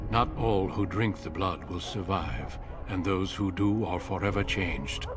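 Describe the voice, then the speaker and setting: A resolute, solemn commander of an old warrior order in a fantasy game. His voice sits low, and he speaks with formal, measured gravity. Loud music plays beneath him.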